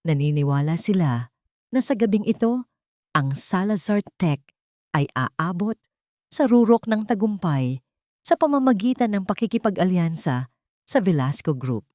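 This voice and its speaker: A woman's voice: fairly low pitch, very animated, normal speed, long pauses, dark tone, no echo. A woman narrating a scripted love story in a solemn, dramatic manner.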